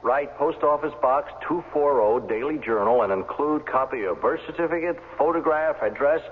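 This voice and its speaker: A man's voice: on the high side, normal speed, lightly acted. A man acting in a 1970s radio drama as an eager, persuasive friend, emphatic as he reads things out. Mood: eager, insistent. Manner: emphatic, coaxing. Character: eager, persuasive male friend pushing a job lead. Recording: phone-like, echoey old radio recording.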